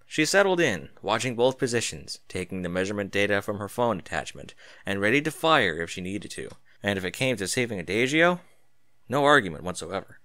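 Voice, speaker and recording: A man narrating a scripted dramatic story as its narrator, with theatrical, deliberate emphasis. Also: fairly low pitch, sing-song, sharp tone, no echo.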